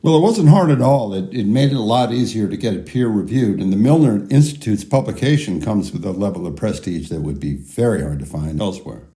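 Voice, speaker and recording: An actor playing a modest, amiable researcher being interviewed in an audio drama, slightly halting. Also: male voice, fairly low pitch, expressive, dark tone, lightly acted.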